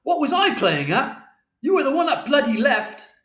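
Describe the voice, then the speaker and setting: A man voicing a furious, hurt, foul-mouthed father in an audiobook, loud, explosive and indignant. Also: very high-pitched, very animated, normal speed, reverberant, pitched above the performer's own voice, heavily acted.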